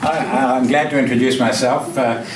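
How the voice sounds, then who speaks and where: A man's voice: mid-range voice, steady tone. Man lecturing in a roomy hall. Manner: measured, frequent uh fillers and restarts. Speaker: guest speaker, sociologist. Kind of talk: academic lecture. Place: lecture room. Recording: roomy, distant, noisy hall.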